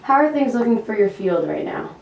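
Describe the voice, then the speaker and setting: A woman's voice: fairly high pitch, sing-song, brisk, vocal fry, a little mellow, slight background noise. A woman reporter delivering a scripted amateur news report. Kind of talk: scripted amateur mock newscast. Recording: echoey room, distant mic.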